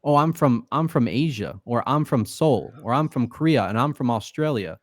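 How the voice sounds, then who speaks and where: A man's voice: mid-range voice, animated, moderate pace, dry recording, clean studio sound. A man talking as a podcast guest in loose, casual speech, full of fillers and swearing. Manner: loose and profane, many fillers. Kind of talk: casual interview talk.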